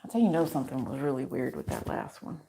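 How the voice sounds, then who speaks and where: A woman with a low voice hosting a casual livestream card reading into a microphone, chatty and reassuring as she speaks in affirmations. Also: fast talker, vocal fry, mellow, slight background noise. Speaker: tarot reader and channel host.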